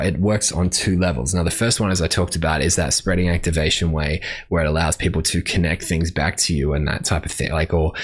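Australian male podcast host, low gravelly-husky voice, casual rambling advice talk with constant self-corrections. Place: room with a bookshelf.

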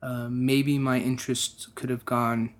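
A man's voice: medium pitch, flat delivery, a little slow. A young man from the United States, a guest musician, speaking in a casual interview with a thoughtful manner and frequent restarts.

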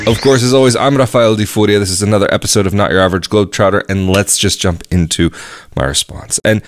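A man's voice: fairly low pitch, steady tone, quick pace, over music. A young adult man hosting a travel podcast, talking alone in a rambling, conversational way and thinking aloud as he goes. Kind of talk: casual solo monologue.

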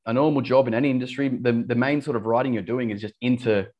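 A man's voice: medium pitch, very fast, dull audio. Chatty man with a light Australian accent. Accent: light Australian, partly English-sounding. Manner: chatty, frequent restarts and fillers, laughs easily. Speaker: English teacher, guest. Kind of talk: casual podcast interview.

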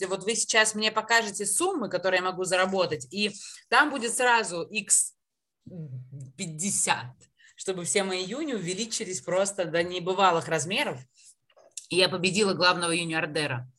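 A woman's voice: mid-range voice, normal speed, sharp tone. Playful, laughing young woman guest. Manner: laughs often, playful and self-mocking. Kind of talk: casual radio chat.

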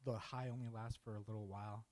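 A man speaking as a podcast guest, giving an earnest and reflective personal testimony. His voice sits low and has a mellow, warm tone. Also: level tone, fast talker. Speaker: interview guest.

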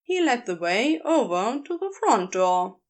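A woman reading scripted fiction aloud as a narrator, with an expressive storytelling delivery. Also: fairly high pitch, a little slow. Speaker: podfic narrator.

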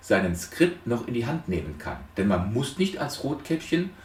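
A man giving an explanatory lecture with storytelling, speaking deliberately with dramatic emphasis. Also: mid-range voice, sing-song, darkish tone. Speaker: lecturer on psychotherapy. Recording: roomy echo, distant microphone.

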